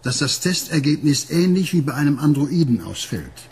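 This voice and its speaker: An actor playing a smooth-talking company boss and uncle in a radio drama, with a husky voice. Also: male voice, on the high side, big pitch swings, moderate pace, darkish tone, a little hiss or hum. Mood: self-assured. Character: smooth-talking male corporate android maker, uncle.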